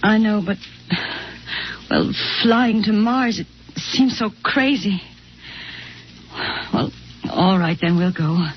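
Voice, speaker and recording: A woman's voice: medium pitch, slow pace, pauses often, natural voice. An actress playing a worried, hesitant wife and mother in an old radio drama. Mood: anxious. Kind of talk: 1950s radio drama acting. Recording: muffled old radio recording, faint noise.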